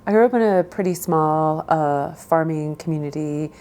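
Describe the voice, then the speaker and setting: A middle-aged woman from southern Idaho whose first language is Spanish, answering interview questions in a measured, thoughtful way with frequent pauses. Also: low voice, a little mellow.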